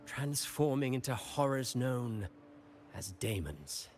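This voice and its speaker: An actor playing a composed, suave male schemer in a video game, delivering scripted lines in a measured, theatrical way. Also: mid-range voice, sing-song, faint music behind. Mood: grave, ominous.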